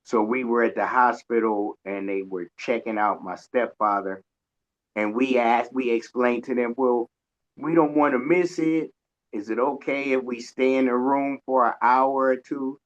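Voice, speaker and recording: A man's voice: medium pitch, steady tone, unhurried. A man co-hosting a radio show, chatting casually and telling anecdotes in a rambling, folksy way. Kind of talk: casual conversational interview, anecdotes. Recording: dull, lo-fi audio.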